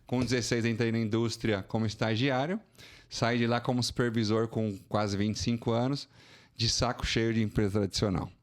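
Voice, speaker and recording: A man in his forties with a São Paulo accent, a podcast guest telling his career story in a colloquial, animated way. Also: moderate pace. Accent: Brazilian Portuguese, São Paulo. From São Paulo, Brazil. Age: 40s. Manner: animated, colloquial, rising pitch when indignant. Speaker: guest, financial consulting entrepreneur. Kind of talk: casual interview storytelling.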